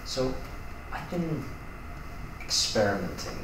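A man plays a guilty, sheepish male roommate in a naturalistic drama. He stammers and hesitates, and he is heard from a distance in a very echoey room with clear noise behind him. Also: medium pitch, big pitch swings, takes it slowly. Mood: nervous, guilty. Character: sheepish, guilty male roommate confessing odd habit, desperate. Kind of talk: naturalistic acted scene.

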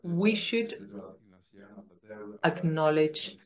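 Slow, deliberate man. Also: a little high. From Sweden. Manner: deliberate, provocative. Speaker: audience member. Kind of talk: question from the floor. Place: large conference hall.

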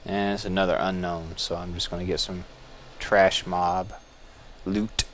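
A man casually talking through a game as he plays, relaxed and musing, thinking aloud with small restarts. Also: deep voice, measured pace, a little hiss or hum. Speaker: gameplay video host. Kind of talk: casual gameplay commentary.

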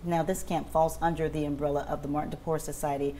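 A woman's voice: low voice, flat delivery, quick pace, mellow, a little hiss or hum. A woman anchoring a local morning news show, introducing and interviewing a guest in a polished, upbeat presenter manner on a lapel mic. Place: TV news studio.

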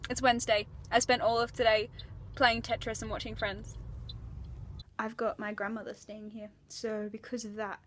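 A woman's voice: fairly high pitch, little pitch movement, normal speed, slight background noise. A young adult woman with an Australian accent, chatting casually and playfully into a handheld phone as a video diary. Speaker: vlogger. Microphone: phone at arm's length.

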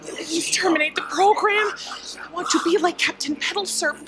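A woman's voice: very high-pitched, normal speed, background noise. A woman playing a self-aware female spaceship computer on stage, theatrical and desperate, in formal wording. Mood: desperate, panicked. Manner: formal, uncontracted, theatrical speech. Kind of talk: staged audio drama acting. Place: theatre stage before an audience. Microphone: stand microphone.

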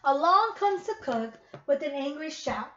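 Female primary-teacher type, high-pitched, reading to kids and repeating questions, muffled home recording with noise. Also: animated, unhurried, pushed and bright. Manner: repeats questions, addresses children as friends. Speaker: teacher reading aloud to young pupils. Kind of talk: children's read-aloud with questions to pupils. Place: living room at home, indoors. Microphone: webcam at about arm's length. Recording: dull webcam sound with clear noise.

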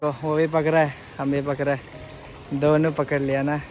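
A young man with a Northeast Indian accent talks chattily to his phone while fishing at a river, his voice pitched a little high. He laughs often and drops English words into his talk. Water and construction noise are clearly heard behind him. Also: little pitch movement, normal speed, a little mellow. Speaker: vlogger. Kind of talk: casual vlog narration while fishing. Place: outdoors by a river. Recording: noisy outdoor riverside recording.

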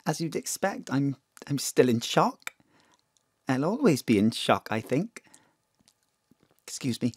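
A woman's voice: a bass voice, big pitch swings, measured pace, long pauses, a little mellow, husky, dry recording, studio quality, heavily acted. A woman playing a polite, hesitant, old-fashioned mother in her thirties, dignified in grief, in an audio drama. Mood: grieving. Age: 30s.

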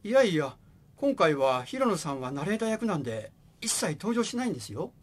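Actor as bantering male scrivener, Kansai accent, animated pitch, radio comedy. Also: fairly quick. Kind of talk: comic radio drama acting.

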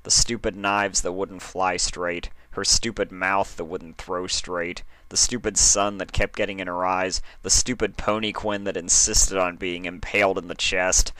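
Deep, bright-voiced man narrating a story. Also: level tone, unhurried, few pauses, no echo. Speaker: fan fiction reader, narrator.

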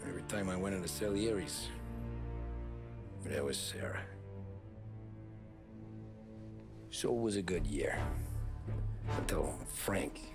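An actor playing an easygoing young American gangster, speaking in a casual, understated way as in a crime drama game. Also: male voice, deep voice, lively intonation, normal speed, vocal fry, pauses often, over music. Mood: reflective, subdued. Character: easygoing young male gangster driver recounting his past.

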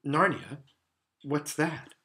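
A man's voice: fairly high pitch, animated, very slow, sharp tone, exaggerated voice. A man voicing a polite, puzzled little girl in a children's storybook, simple and hesitant in manner.

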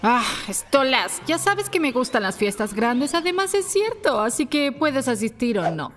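Woman playing a shrill, haughty cartoon owl princess. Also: very animated, measured pace, background noise. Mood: scornful. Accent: Latin American Spanish. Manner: theatrical, scornful. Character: haughty, spiteful demon owl princess, bitterly married. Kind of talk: animated cartoon fan dub.

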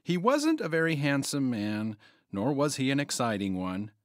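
Village storyteller, played by a male actor: animated middle-pitched voice, anxious when alarmed, audio drama. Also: normal speed, no room sound, clean studio sound, natural voice. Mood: solemn storytelling. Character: village doctor's assistant narrating a tale, anxious when alarmed. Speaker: narrator and actor.

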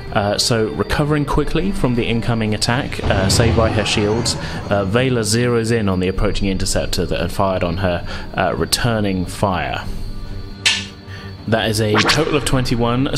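A man with a low voice acts as game master at a tabletop game. He alternates between rules talk and narrated space combat, with music clearly playing underneath.

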